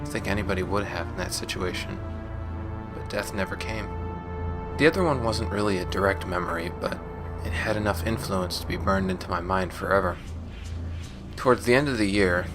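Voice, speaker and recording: A man playing a world-weary former gang member recording a confessional sci-fi audio log, speaking in a subdued, reflective, measured way over music. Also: low-pitched, lightly acted. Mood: haunted, then somber, resigned.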